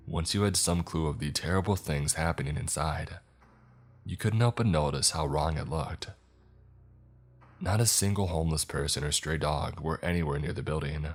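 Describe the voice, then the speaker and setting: Very deep-voiced male horror narrator. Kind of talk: scripted first-person horror narration.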